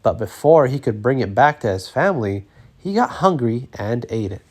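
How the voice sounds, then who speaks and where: Male storybook narrator, medium pitch, very expressive and lively, reading aloud for kids. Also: moderate pace. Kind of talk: expressive children's read-aloud.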